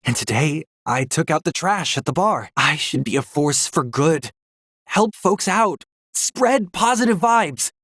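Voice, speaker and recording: An actor playing an earnest, idealistic devotee of the planet in a video game, sincere and plain in speech. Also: male voice, fairly high pitch, animated, a little slow, fairly bright tone, no echo, studio quality. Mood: hopeful, earnest. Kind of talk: video game character dialogue.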